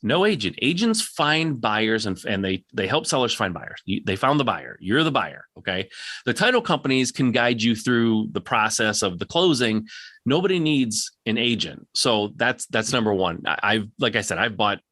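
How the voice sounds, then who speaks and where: A man's voice: fairly low pitch, big pitch swings, moderate pace, fairly bright tone, no room sound. A man hosting a live Q&A, coaching in a direct, plain-spoken way and insistently repeating his points for emphasis. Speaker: podcast host answering audience questions.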